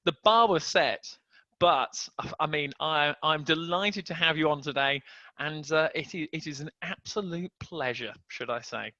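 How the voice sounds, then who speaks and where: A man's voice: fairly high pitch, normal speed, bright, forceful tone, dry recording, dull audio. A man hosting a casual video podcast, joking and stumbling over restarts as he talks. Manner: chatty, jokey, stumbling restarts. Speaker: podcast host.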